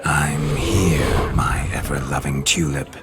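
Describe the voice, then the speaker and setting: A man's voice: very deep voice, steady tone, slow, over loud music, a loud crowd. An actor voicing a flamboyant, carefree dead nobleman with theatrical, flirtatious, florid speech. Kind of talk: game cutscene voice acting.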